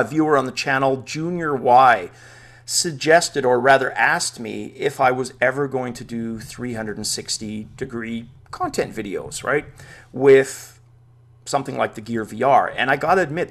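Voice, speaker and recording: A man's voice: medium pitch, moderate pace, fairly bright tone. A man whose first language is Dutch, hosting a casual VR news vlog from his home man cave, chatty and enthusiastic, thinking aloud as he goes. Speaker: VR news show host.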